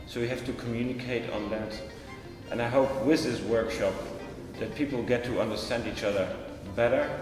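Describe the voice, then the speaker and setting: A man, a museum director, giving an earnest, measured address to camera. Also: medium pitch. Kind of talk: speaking to camera. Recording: echoey hall with music behind.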